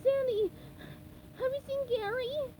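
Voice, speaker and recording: A woman voicing a frantic, cheerful young male sea sponge, yelling in a shrill, excitable voice. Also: unhurried, slight background noise, rough recording.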